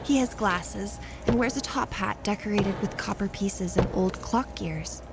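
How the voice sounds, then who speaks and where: A woman's voice: mid-range voice, a little slow. A woman reading scripted story narration for an audio drama as the narrator. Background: music.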